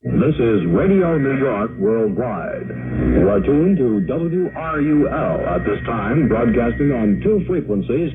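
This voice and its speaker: A man's voice: medium pitch, very animated, unhurried, very noisy. Old shortwave recording of an American male announcer. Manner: formal, scripted delivery. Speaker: radio announcer. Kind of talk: 1960s radio announcing. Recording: old dull band-limited shortwave radio recording.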